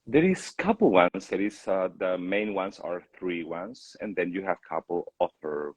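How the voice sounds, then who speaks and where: A man from Chile with a Chilean Spanish accent and a husky voice, answering questions as an opera singer in a casual interview. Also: low-pitched, expressive, measured pace, a little mellow, no echo.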